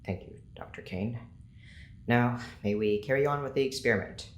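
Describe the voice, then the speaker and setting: A man's voice: medium pitch, moderate pace, close to an everyday voice. An actor playing a stern male scientist who runs an experiment, speaking formally and clinically in an audio drama. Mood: stiffly apologetic. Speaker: experiment proctor. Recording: roomy echo, faint noise.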